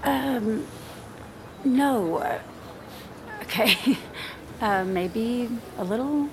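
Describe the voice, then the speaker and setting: An actress playing a polite, hesitant finance employee in a screen drama, speaking tentatively and placatingly. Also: female voice, fairly high pitch, extremely slow, noisy background. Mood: awkward, unsure. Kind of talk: naturalistic screen drama acting.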